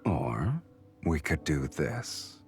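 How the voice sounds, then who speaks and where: An extremely deep male voice acted by a man for an aloof romantic-fantasy game character. He is terse and deadpan, taking his time with each cold, challenging line. Music plays clearly behind him. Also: very slow, heavily acted. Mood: cool, challenging.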